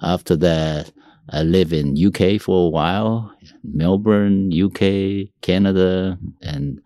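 An older man with a Taiwanese accent, a native Mandarin and Taiwanese speaker, telling stories casually as an interview guest in a halting way. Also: low-pitched, dark tone, no echo, studio quality. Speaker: company CEO, interview guest.

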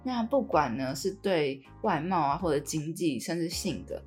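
Low-voiced young Taiwanese woman chatting. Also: animated, normal speed, a little mellow, faint music behind. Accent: Taiwanese Mandarin. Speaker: podcast co-host. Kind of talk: casual podcast chat.

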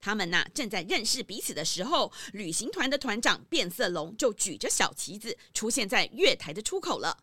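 一位女性旁白，以講故事的口吻為兒童廣播劇唸稿敘述。. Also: female voice, medium pitch, big pitch swings, brisk, few pauses, pushed and bright, slight background noise. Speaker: narrator. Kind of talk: scripted children's story narration.

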